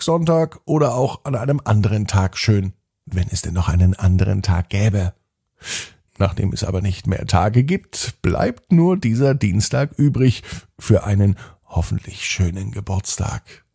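A man hosting a children's podcast, gently narrating a bedtime story and guiding kids through a stretching routine. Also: lowish voice, vocal fry, husky.

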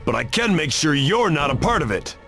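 An actor playing a tough, sarcastic action hero in a video game cutscene, gritty and defiant. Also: male voice, on the high side, sing-song, brisk, over music. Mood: grim defiance. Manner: sardonic.

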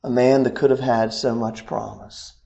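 A young man preaching a sermon at a church pulpit, swinging from loud, raised lines to low, quiet ones, picked up by a distant camera. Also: fairly even intonation, moderate pace, creaky, lo-fi sound.

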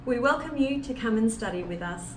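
A woman's voice: a little high, animated, fairly quick, far from the mic. A woman, a business development manager, gives a welcoming promotional talk to camera in an indoor office. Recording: roomy sound from off-mic, clear background noise.

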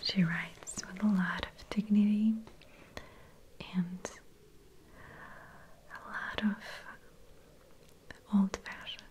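A woman reads an old-fashioned novel in a quiet ASMR whisper. Her delivery is slow and mellow, with soft breathy phrasing throughout. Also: medium pitch, flat delivery, slight background noise. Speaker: reader. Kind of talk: whispered ASMR book reading.

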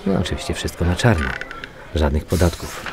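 An actor playing a matter-of-fact, weary male police investigator in a radio drama, muttering and repeating himself haltingly. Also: sits low, animated, vocal fry, noisy background. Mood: wry, businesslike. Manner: halting, muttering repetitions while reading out reports. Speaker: actor in an audio crime drama. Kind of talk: naturalistic radio drama dialogue.

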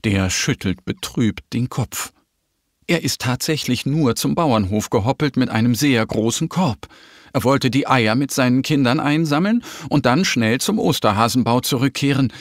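A man narrating a scripted children's story in a careful, expressive way. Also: medium pitch, normal speed, no room sound, studio quality.

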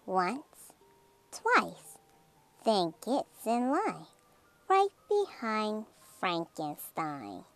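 A woman reading a rhyming children's picture book aloud in a dramatic, theatrical way, drawing out words and dropping into hushed phrases. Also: medium pitch. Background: music.

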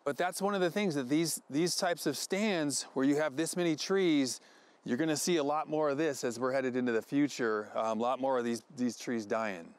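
A middle-pitched American man speaks as a researcher outdoors. He explains things to camera in the style of an educational film. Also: normal speed. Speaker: forest researcher. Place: outdoors in a forest.

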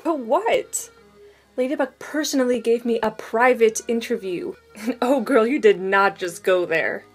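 A woman's voice: a little high, measured pace, husky, faint music behind. A woman playing a sassy, defiant teenage girl in a cartoon comic dub. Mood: indignant. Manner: sassy, emphatic.

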